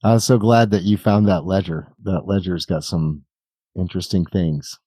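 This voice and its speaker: A man's voice: low voice, steady tone, normal speed, warm tone, no echo. A man acting as game master, giving rulings and improvised narration in a casual conversational way.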